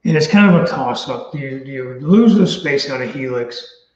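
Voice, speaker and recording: Casual male host, mid-pitched, meandering, roomy slightly dull sound. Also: lively intonation, moderate pace. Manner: thinks out loud, meandering. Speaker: show host. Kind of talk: casual chat.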